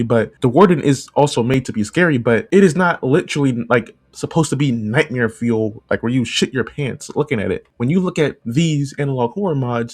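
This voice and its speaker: A man's voice: medium pitch, darkish tone. A man giving informal, opinionated video commentary in a conversational way, repeating phrases for emphasis. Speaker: video essay host and analog horror creator. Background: background music.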